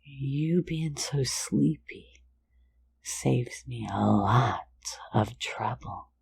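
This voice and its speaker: A woman's voice: very deep voice, drawn-out words, gravelly, darkish tone, husky, heavily acted. A woman voicing a sly, playful phantom thief in an ASMR roleplay, mostly whispering close to the mic in a teasing, soothing way. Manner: teasing, soothing, lulling listener to sleep.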